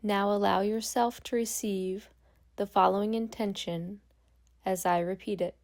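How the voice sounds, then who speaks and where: A woman guiding a meditation as a yoga nidra instructor, speaking calmly and gently with long pauses. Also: mid-range voice. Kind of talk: guided meditation.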